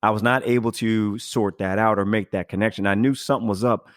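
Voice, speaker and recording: A man hosting a casual podcast, recapping a story in a halting, think-aloud way and paraphrasing bits of dialogue. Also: fairly low pitch, steady tone, dry recording, studio quality. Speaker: podcast host.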